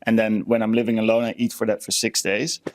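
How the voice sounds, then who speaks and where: A man's voice: on the low side, level tone, fast. A man from the Netherlands with a Dutch accent, co-hosting a podcast in casual chat, giving tips emphatically and repeating words. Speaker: podcast co-host.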